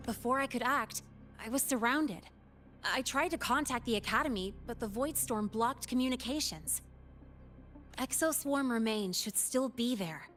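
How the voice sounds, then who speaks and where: An actress as a young female academy student from a video game, with a fairly high voice. She is guarded and quick-witted, speaking with cool, wry defensiveness over music. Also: close to an everyday voice.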